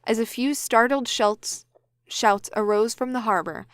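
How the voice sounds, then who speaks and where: A woman's voice: a little high, a little slow, fairly bright tone, clean tone, no echo, studio quality. A woman reading scripted story narration for an audio drama. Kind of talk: scripted audiobook-style narration, blooper takes.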